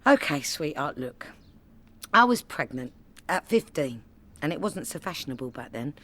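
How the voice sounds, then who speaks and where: Woman acting a lively London wife and mum. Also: sits low, moderate pace, full character voice. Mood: frank. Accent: London English. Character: working-class London wife and mum, loyal, indignant. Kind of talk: comedy radio play acting.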